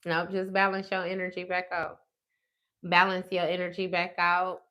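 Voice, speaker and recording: Bright-voiced, blunt woman in her thirties. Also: lowish voice, completely flat delivery, normal speed. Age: 30s. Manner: blunt and profane, talks straight to her viewers. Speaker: tarot livestream host. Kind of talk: casual livestream chat with viewers.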